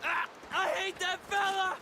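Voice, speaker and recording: An actor playing a panicked, pleading American captive in a Western video game. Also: male voice, very high voice, level tone, a little slow, vocal fry, noisy background, exaggerated voice. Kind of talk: video game cutscene acting.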